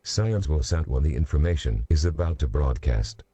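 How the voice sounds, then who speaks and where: Very deep, dark-voiced emergency announcer man. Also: lively intonation, husky, no room sound, muffled recording. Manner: measured, formal, instructional. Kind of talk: scripted emergency alert announcement.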